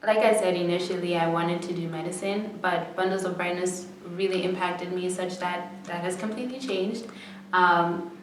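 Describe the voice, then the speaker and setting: An eighteen-year-old woman, a student volunteer, speaking reflectively with pauses and fillers in a documentary interview. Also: on the low side, steady tone, slight background noise. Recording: echoey room, distant mic.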